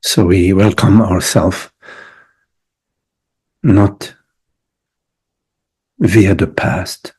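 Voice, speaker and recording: A man leading a guided meditation as a teacher, speaking reflectively in short phrases with long pauses between them. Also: low-pitched, darkish tone, breathy edge.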